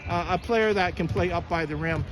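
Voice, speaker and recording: A man doing a casual interview in a halting, hesitant way, often repeating and restarting his phrases. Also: sits high. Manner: halting, hesitant, repetitive delivery. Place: indoor basketball gym. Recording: noisy gym, bouncing balls behind.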